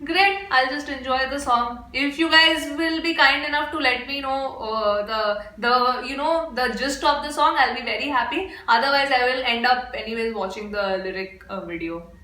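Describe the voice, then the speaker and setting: Bright, high-voiced young Indian woman. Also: normal speed, few pauses. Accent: Indian English. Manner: gushing, exclamatory, chatty with fillers. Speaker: reaction video host. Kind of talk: casual reaction vlog. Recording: echoey room with faint background music.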